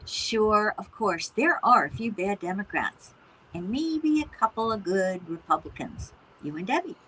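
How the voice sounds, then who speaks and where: A woman's voice: medium pitch, expressive, a little slow, fairly bright tone, slightly rough, rough recording. A middle-aged woman playing an emotional, wryly opinionated mother of a grown son in a naturalistic one-act play. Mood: teasing. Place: virtual play performed over video call.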